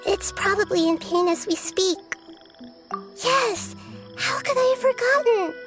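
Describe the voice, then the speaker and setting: A tiny, wise goddess with a very high, girlish voice. She speaks gently and solemnly, choosing her words with care, as in a fantasy video game, with music clearly playing behind her. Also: lively intonation, unhurried. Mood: alarmed. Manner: articulate, thoughtful, earnest. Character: small childlike female deity, wise, gentle and solemn. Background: game background music.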